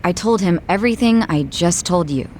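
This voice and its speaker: An actress playing a blunt, plain-spoken young bar hostess in an English video game dub. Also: female voice, lowish voice, lively intonation, moderate pace, a little mellow, a little hiss or hum, natural voice. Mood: frank, uneasy.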